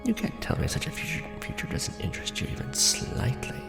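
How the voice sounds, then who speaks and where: A man playing a courtly, brooding vampire lord in an ASMR roleplay, speaking formally and deliberately in a hushed, breathy, gravelly voice. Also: close to an everyday voice. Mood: persuasive, hushed. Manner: formal, hushed, deliberate, theatrical aristocratic address. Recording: roomy echo under background music. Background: music.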